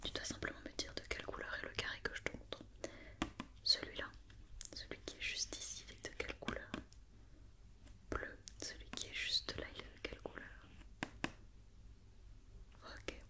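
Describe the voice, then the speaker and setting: Female ASMR artist as examining doctor: fully whispered, breathy, patient and methodical, repeating gentle instructions and questions. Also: normal speed, pauses often. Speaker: ASMR performer playing a doctor examining a robot. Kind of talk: whispered ASMR medical check-up roleplay.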